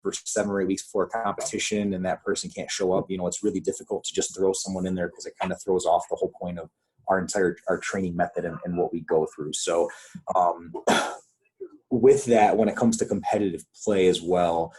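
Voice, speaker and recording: A man with a husky voice leading a coaches' conference call, explaining guidelines in an informal, conversational way. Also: low voice, steady tone, fairly quick, a little mellow, rough recording. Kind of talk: informal instructional presentation with questions.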